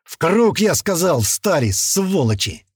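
A man's voice: high voice, big pitch swings, normal speed, a little mellow, dry recording, clean studio sound, pitched above the performer's own voice. A man voicing a vengeful, mocking young gunman in an audiobook, barking and hissing commands with theatrical flair. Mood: barking anger.

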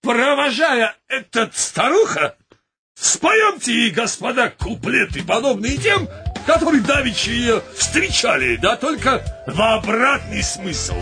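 An actor playing an indignant, huffy suitor in a comic radio play, with exaggerated declamation. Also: male voice, extremely high pitch, big pitch swings, takes it slowly, sharp tone, breathy edge, faint music behind, heavily acted. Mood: declamatory.

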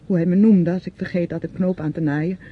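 A woman's voice: steady tone, fast, slightly rough. An actress playing a bitter, grieving younger woman in a radio drama, theatrical and reproachful, lingering over her words. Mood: taunting, accusing. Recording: muffled old radio recording, faint rumble.

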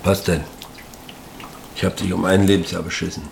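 An actor playing a confused, stubborn middle-aged father in a naturalistic radio drama, muttering in short halting phrases, guilt-ridden. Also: sits low, little pitch movement, creaky, slight background noise. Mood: stubborn, regretful.

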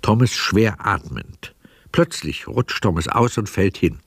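A man narrating a scripted radio drama in a measured, matter-of-fact manner. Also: lowish voice, lively intonation, gravelly, slightly rough, dry recording, studio quality.

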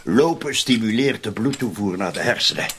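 An actor with a Flemish accent playing a scheming, suspicious conspirator in a radio drama, insistent and often dropping to near-whispers. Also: male voice, lowish voice, lively intonation, moderate pace, a bit bright, a little hiss or hum. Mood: conspiratorial.

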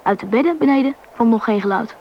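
A woman playing a young boy who narrates a radio play in measured storytelling. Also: on the high side, animated, dull audio, slight background noise. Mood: reflective. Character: young boy narrating his family's train journey.

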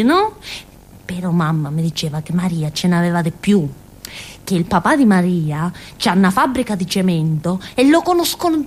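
Actress playing a childlike Calabrian village simpleton, animated. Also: female voice, medium pitch, natural voice. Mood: earnest chatty. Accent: southern Italian, Calabrian. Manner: childlike, rambling, punctuated by little grunts and tag questions. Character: naive simple-minded young village woman of Calabria, chatty, confiding. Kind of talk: theatrical first-person monologue in colloquial dialect-tinged Italian.

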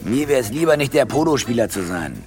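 An actor playing an arrogant, brusque, grumbling wealthy husband in a radio play. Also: male voice, mid-range voice, sing-song, fairly quick, a little mellow, soft background music. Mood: disdainful, casual. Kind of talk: German radio play acting.

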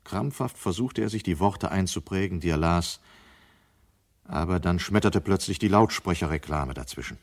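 A man with a deep voice narrates a radio drama. He reads scripted literary prose as a storyteller. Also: animated, moderate pace.

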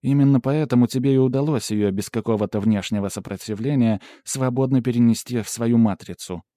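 A man's voice: mid-range voice, brisk, dark tone, no room sound, clean studio sound, natural voice. A man voicing a female AI assistant in an audiobook, reporting formally and precisely in technical sentences. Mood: matter-of-fact. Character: Pandora, female AI assistant, precise and matter-of-fact. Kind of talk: audiobook character dialogue.

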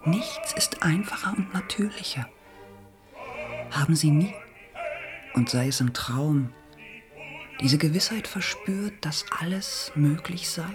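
Radio drama: seductive phantom singer. Unhurried, breathy, half-whispered, enigmatic. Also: female voice, sits low, animated, over music. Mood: hushed, enigmatic. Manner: slow, enigmatic, probing. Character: mysterious, seductive opera singer, dreamlike apparition. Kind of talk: literary radio play acting.

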